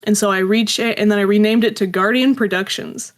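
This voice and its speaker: A woman's voice: mid-range voice, fairly even intonation, fairly quick. A woman telling personal anecdotes as an interview guest in a casual, rambling, self-deprecating way. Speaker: interview guest, audio drama creator.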